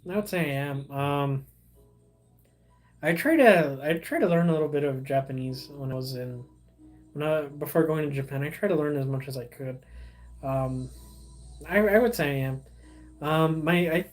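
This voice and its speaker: A young man, a Spanish speaker, hosting a casual livestream and chatting with viewers in a rambling, halting way full of fillers and restarts. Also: on the high side. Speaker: livestream host.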